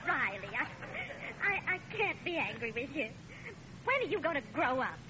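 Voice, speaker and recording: A woman's voice: high voice, big pitch swings, moderate pace. A woman playing an indignant, reproachful wife and mother in a 1940s radio sitcom. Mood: exasperated. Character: scolding but forgiving wife and mother. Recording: muffled old radio recording, faint noise.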